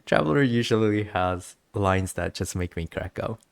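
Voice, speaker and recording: Low-pitched streamer, giggly and rambling. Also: expressive, normal speed, vocal fry, smooth, dry recording. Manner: giggly, rambling, reads game text aloud. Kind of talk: casual gameplay commentary, chatting to viewers.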